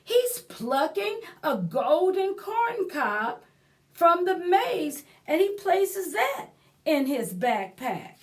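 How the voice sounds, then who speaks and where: Woman, children's storytime reader, high voice, lively swooping intonation, unhurried, expressive, chatty asides to young listeners. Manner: explains words and prompts young listeners. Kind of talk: children's picture book read-aloud with asides.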